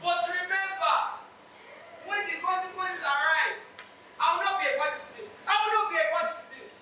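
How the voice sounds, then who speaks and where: Actor as high-voiced Nigerian royal in echoing hall. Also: steady tone, brisk, sharp tone, distant mic. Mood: warning. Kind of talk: stage play acting, declaimed speeches. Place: stage hall, distant mic. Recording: roomy, noisy stage recording from afar.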